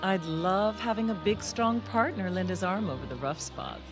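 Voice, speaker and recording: A woman's voice: medium pitch, expressive, moderate pace, over music, natural voice. An actress playing a flirtatious, wealthy married society woman in a radio mystery, arch, coy and teasing. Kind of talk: radio mystery drama acting.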